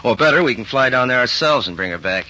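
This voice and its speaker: An actor playing a brisk, decisive FBI agent, clipped and businesslike, in a 1940s radio crime drama. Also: male voice, medium pitch, lively intonation, bright, forceful tone, a little hiss or hum. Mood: businesslike urgency. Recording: dull 1940s radio recording.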